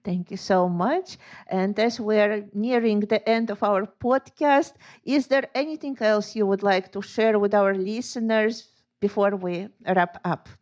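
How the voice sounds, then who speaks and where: A woman's voice: mid-range voice, measured pace, darkish tone. A woman hosting a podcast, politely thanking her guest and wrapping up. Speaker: podcast host. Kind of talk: interview wrap-up.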